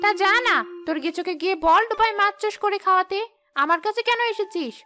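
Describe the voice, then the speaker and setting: A woman's voice: extremely high pitch, fast, pushed and bright, soft background music. A woman voicing a stingy, scornful female ghost in a cartoon, scolding and dismissive in a smug way. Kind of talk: cartoon ghost-story dialogue.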